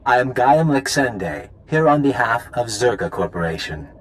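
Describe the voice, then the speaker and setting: A man's voice: mid-range voice, very animated, normal speed, close to an everyday voice. Suave villain with swooping delivery by a man. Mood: mock-friendly. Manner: suave, mock-courteous. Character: smug, polite young male corporate enforcer villain. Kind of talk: video game villain acting.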